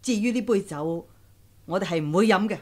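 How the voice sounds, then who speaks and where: A woman's voice: mid-range voice, expressive, a little fast, darkish tone, close to an everyday voice. An actress playing a proud, stern old woman warrior who speaks forthrightly and emphatically in a radio drama. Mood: resolute. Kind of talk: Cantonese radio drama acting.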